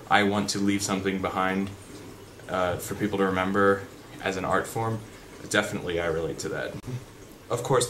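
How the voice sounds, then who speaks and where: A young man with a deep voice chatting unscripted in a vlog, rambling with fillers and restarts. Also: fairly bright tone. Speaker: vlogger. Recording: background noise.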